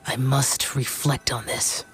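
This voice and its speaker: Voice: mid-range voice, little pitch movement, takes it slowly, husky, soft background music. An actor playing an ancient, stoic demon-slayer spirit in a video game, formal and grave. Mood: solemn. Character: ancient youthful-looking male demon-slayer spirit, aloof, stoic, solemn.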